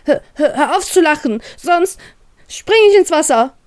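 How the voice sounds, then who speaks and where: A woman's voice: sits high, expressive, a little slow, no room sound, studio quality, pitched above the performer's own voice. A woman voicing a shy, tearful, easily flustered young woman in a story, with a whiny, stammering delivery. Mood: embarrassed, meek.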